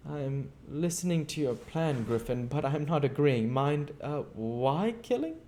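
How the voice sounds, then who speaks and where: A man voicing a wary gentleman in a storybook reading, protesting earnestly and reasoning with urgency. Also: on the high side, expressive, measured pace, a little hiss or hum, pitched above the performer's own voice.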